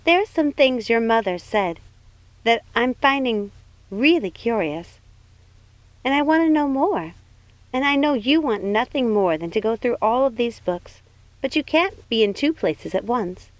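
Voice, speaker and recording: A woman voicing a gentle, sweet young woman in an audiobook, speaking in an earnest, reassuring manner. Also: a little high, expressive, a little slow, no echo, voice pushed up, low-quality recording. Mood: eager, earnest. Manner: soft-hearted, earnest, reassuring. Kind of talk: audiobook character voice.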